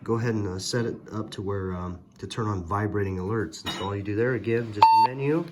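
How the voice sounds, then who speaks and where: A man's voice: lowish voice, fast talker. A man giving a casual, hands-on gadget tutorial, explaining step by step in a hesitant way. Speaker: product reviewer, presenter. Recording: very noisy, electronic beeps and clicks.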